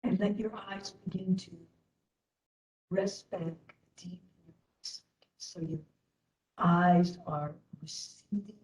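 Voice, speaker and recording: A woman leading a guided meditation as instructor, speaking gently in short phrases with long pauses. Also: on the low side, fairly even intonation, poor audio.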